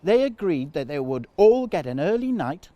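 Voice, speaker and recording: A man telling a children's story in an animated storytime narration style. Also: fairly high pitch, normal speed, no echo.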